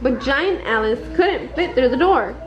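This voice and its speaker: A woman's voice: high voice, lively intonation, unhurried. A woman reading a children's bedtime story aloud from a script. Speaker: storybook reader. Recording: dull, roomy, faint background noise.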